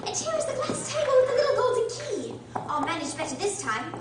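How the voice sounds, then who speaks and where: Outspoken storybook girl played by a woman: very high voice, radio-play acting, distant mic in a noisy reverberant hall. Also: big pitch swings, fairly quick. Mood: curious. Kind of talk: stage radio drama acting. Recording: echoey hall, distant mic, loud noise.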